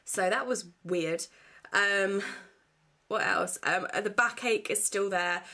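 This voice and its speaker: A woman's voice: medium pitch, slow pace, pushed and bright. A young woman, chatting casually to camera at home, rambling with lots of fillers, her nose blocked and congested. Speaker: vlogger.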